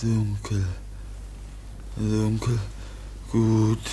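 A man's voice: lowish voice, completely flat delivery, warm tone, slight background noise. An actor playing a timid teenage foundling boy in a 1950 radio play, speaking in broken, stammering, childlike phrases. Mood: halting, excited. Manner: halting, broken, simple childlike speech with stammers. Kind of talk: 1950 German radio drama acting.

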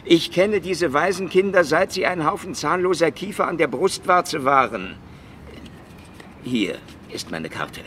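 A man's voice: a little high, lively intonation, measured pace, fairly bright tone, a little hiss or hum. An actor playing a tough, sly Soviet secret policeman with a sardonic, bullying manner in a radio crime drama. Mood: insistent, sly.

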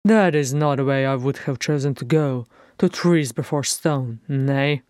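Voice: medium pitch, level tone, a little slow, warm tone, clean tone, no echo, clean studio sound, natural voice. A narrator voicing a hearty, comradely male dwarf in an audiobook, jovial and old-fashioned in phrasing. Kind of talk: fan fiction audiobook character acting.

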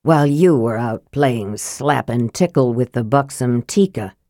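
Lady doing a sickly grumpy wizard man, low muttery snarl, bitter and scathing, fantasy audiobook. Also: animated, measured pace, dark tone, no echo, clean studio sound. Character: weak, sickly, scathing male mage, irritable and bitter.

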